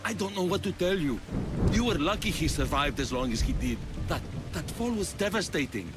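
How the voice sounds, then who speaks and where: An actor playing a stern, urgent priest in a dramatic video game, dismissively turning someone away. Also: male voice, on the high side, lively intonation, a little fast, close to an everyday voice. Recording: very loud music and storm behind.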